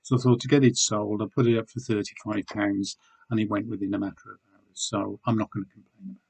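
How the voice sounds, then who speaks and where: A middle-aged man from the United Kingdom, slightly hoarse, talking casually and rambling with many ums as he shows his eBay items to the camera. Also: on the low side, flat delivery, fast talker, darkish tone, poor audio. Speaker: eBay seller reviewing weekly sales. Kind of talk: casual video chat showing items.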